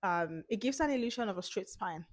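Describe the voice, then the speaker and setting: A woman hosting an informal instructional talk as a confidence coach, speaking emphatically with repeated points and a slightly hoarse voice from a cold. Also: mid-range voice, expressive, fairly quick, no echo, clean studio sound.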